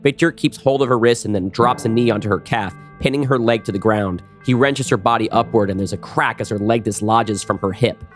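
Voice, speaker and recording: A man's voice: lowish voice, brisk, hardly any pauses, soft background music. A man giving scripted narration for an action audio drama.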